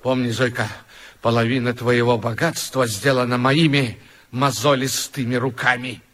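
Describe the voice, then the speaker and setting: A man's voice: mid-range voice, level tone, moderate pace, a bit bright. A man performing in a radio play, boastful and swaggering in a theatrical way.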